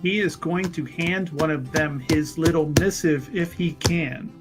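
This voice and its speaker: Male tabletop player, higher-pitched, casual and unhurried, talking through his character's moves. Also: fairly even intonation, loud music behind the voice. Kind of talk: casual tabletop roleplay chat.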